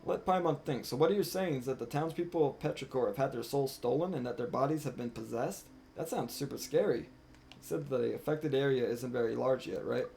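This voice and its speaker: A man's voice: medium pitch, fairly quick, vocal fry, warm tone, slight background noise, natural voice. A man voicing a small, curious, easily spooked fairy companion while reading video game dialogue aloud. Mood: curious, uneasy. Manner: chatty, questioning, speaks in third person. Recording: music behind the voice.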